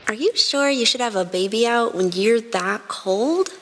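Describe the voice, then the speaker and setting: A woman's voice: fairly high pitch, expressive, a little slow, fairly bright tone, slight background noise. A woman voicing a worried, scolding young girl who cares for her friend, in an acted fan fiction reading. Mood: warning. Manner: chiding, concerned.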